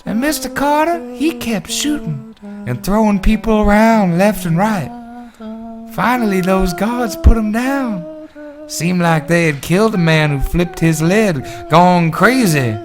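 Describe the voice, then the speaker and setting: A woman performs a folksy witness telling her terrible tale in a dramatic reading. She takes her time, stretching out plain, colloquial phrases. Music plays clearly underneath. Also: mid-range voice, natural voice. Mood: horrified, ominous. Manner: folksy, colloquial, drawn-out storytelling. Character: folksy woman survivor recounting a horrific bar shooting. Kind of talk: dramatic reading of a first-person eyewitness account.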